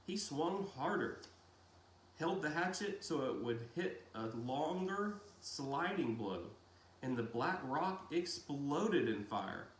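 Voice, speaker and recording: A man reading a novel aloud in a deliberate storytelling style with dramatic pauses. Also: a little high, big pitch swings. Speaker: reader of a children's novel read-aloud. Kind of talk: audiobook-style narration with brief casual asides. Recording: roomy echo, faint background noise.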